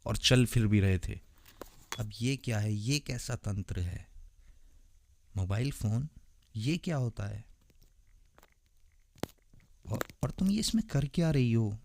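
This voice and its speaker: A man's voice: medium pitch, takes it slowly, creaky, long pauses, darkish tone, slight background noise. A man playing a naive, intense and protective young vampire boyfriend in a romantic ASMR audio drama, earnest and dramatic. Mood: bewildered, agitated. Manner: earnest, dramatic, puzzled questioning.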